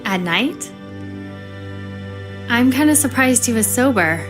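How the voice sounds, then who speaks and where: A gentle, hopeful female survivor, mild and conversational. Her voice is a little high, with faint music in the background. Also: lively intonation, slow. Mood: concerned. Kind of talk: video game voice acting.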